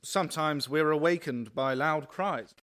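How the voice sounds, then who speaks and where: An actor playing a polite, probing night watch officer in a period radio play, speaking formally and with measured care. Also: male voice, fairly high pitch, fairly bright tone, clear, resonant tone.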